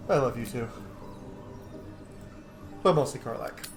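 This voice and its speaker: A man doing casual, excited gameplay commentary, cheeky and slangy, gushing as he goes. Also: medium pitch, unhurried, reverberant, music in the background. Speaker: gaming video host.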